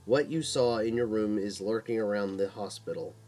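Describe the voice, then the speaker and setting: A man's voice: on the low side, moderate pace, warm tone, natural voice. A man voicing a tough male demon hunter who is curt and commanding, in an audio horror story. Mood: firm, urgent.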